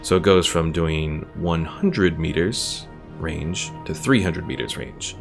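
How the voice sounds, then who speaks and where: Male game streamer, very creaky low voice, casual explanatory commentary over faint game music. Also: slow pace. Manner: thinking aloud, explaining game mechanics. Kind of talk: casual live gameplay commentary.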